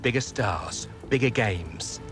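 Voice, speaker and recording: An actor playing a persuasive football agent in a video game cutscene, pitching a deal with urgency. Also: male voice, lively intonation, slow. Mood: persuasive, upbeat. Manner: persuasive, urgent sales talk. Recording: music behind the voice.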